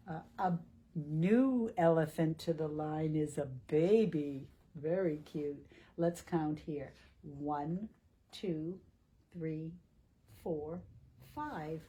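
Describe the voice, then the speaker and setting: A woman reading a picture book aloud to young children in a deliberate, teacherly way, asking questions as she goes. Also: deep voice, mellow, husky. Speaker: children's storytime reader at a library.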